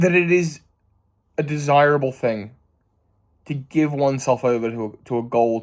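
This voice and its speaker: A man's voice: medium pitch, a little mellow. A man in his thirties talking to camera in a thoughtful, rambling way, with many fillers and restarts. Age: 30s. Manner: thoughtful and earnest. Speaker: vlogger. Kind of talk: reflective casual monologue.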